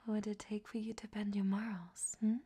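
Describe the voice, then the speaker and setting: A woman playing a flirtatious, teasing captive vampiress in a breathy, sultry ASMR roleplay whisper. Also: medium pitch, flat delivery, a little fast, dark tone. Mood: playful, coy.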